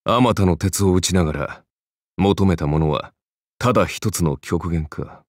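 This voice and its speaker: An actor playing a cool, wry male warrior who speaks in a measured, philosophical way as a game character. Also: low voice, dry recording, studio quality. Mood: wry, pensive. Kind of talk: Japanese game character dialogue.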